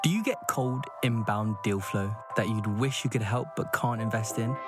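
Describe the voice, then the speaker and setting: A man's voice: fairly low pitch, moderate pace. A man reading a scripted promotional spot for a podcast. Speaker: podcast announcer. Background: music.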